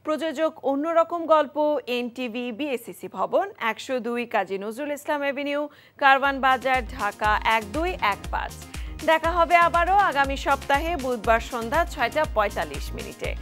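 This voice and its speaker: A high, animated woman's voice with a Bangladeshi Bengali accent reads a TV segment with lots of rise and fall. Faint music sits under her. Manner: lively, bright read with a sign-off. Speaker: TV program presenter. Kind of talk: scripted TV presenting.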